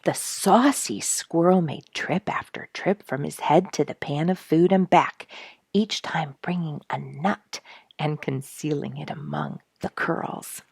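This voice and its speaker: Low-voiced woman storyteller with a creaky edge. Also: unhurried, a little mellow, no room sound, studio quality. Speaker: story reader and show host. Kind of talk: expressive scripted storybook narration.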